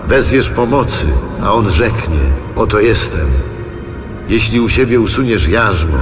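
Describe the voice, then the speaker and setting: Slow, solemn prophet in deep reverb. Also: male voice, animated. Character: solemn male prophet proclaiming God's promise. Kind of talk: dramatized scripture reading, audio drama. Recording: long reverberant hall, music behind.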